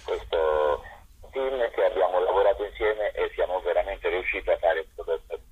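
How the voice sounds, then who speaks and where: A man's voice: sits low, moderate pace, slight background noise. A man, a stage actor, talking informally in a radio interview by telephone and searching a little for words. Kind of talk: spontaneous interview talk. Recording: narrow phone line into radio.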